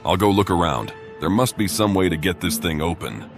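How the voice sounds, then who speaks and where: A man's voice: sits low, expressive, fairly quick, loud music behind the voice. A man playing a wary, questioning adventurer as the protagonist of a video game. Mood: determined.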